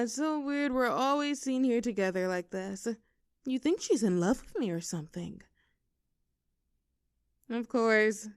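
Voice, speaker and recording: A woman's voice: mid-range voice, clean tone, no room sound, studio quality. A young woman playing a rebellious teenage girl bully in an audio roleplay, with a sarcastic, teasing, brash manner. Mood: sarcastic, annoyed. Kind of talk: scripted roleplay audio drama.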